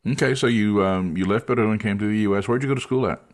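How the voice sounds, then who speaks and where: Guy hosting a podcast, lowish voice, casual friendly jokey. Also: fast talker. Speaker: podcast host. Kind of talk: podcast interview.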